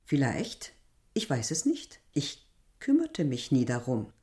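A woman's voice: extremely low pitch, wildly swooping pitch, slow pace, mellow, breathy edge, full character voice. A proud village landlady in a radio drama, middle-aged and embittered. She argues with emphasis and scorn, insisting on every point. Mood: guarded. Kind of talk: literary radio drama acting.

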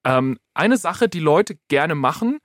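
A man's voice: a little high, sing-song, takes it slowly, fairly bright tone, no echo, clean studio sound. A man explaining things casually as an expert guest on a podcast, thinking aloud as he gives hands-on advice.

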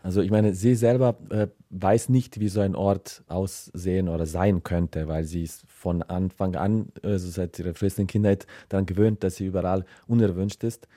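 A man's voice: low voice, steady tone, moderate pace, hardly any pauses, mellow, no echo, studio quality. A man giving unscripted interview answers as a writer, hesitant and searching for his words. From former Yugoslavia. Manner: hesitant, searching, hedging phrases.

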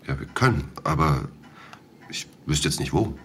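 A man's voice: a bass voice, expressive, measured pace, slight background noise, full character voice. An actor in his thirties playing a hesitant village man and father of two, talking with fillers and small slips. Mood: recalling. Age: 30s. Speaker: villager and witness. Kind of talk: audio drama acting.

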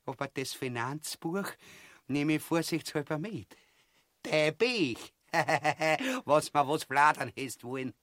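A man's voice: a little high, expressive, takes it slowly, a bit bright, no echo, clean studio sound. A man playing a boorish, bloodthirsty tyrant with an Austrian accent in a grotesque comic radio play, blustering and crude. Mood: bossy, sly.

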